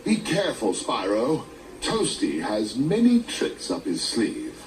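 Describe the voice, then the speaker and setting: A man's voice: mid-range voice, wildly swooping pitch, takes it slowly. A man voicing a flustered, forgetful dragon elder. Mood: warning. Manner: flustered, trailing off. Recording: echoey distant game audio with music.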